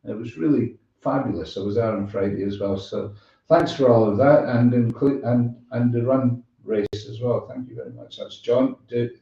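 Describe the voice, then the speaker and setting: A man hosts a casual live chat show in a soft, mellow voice. He rambles and pauses as he goes. The sound is very echoey and distant from the microphone. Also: medium pitch, fairly even intonation, creaky, highs cut off. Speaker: show host.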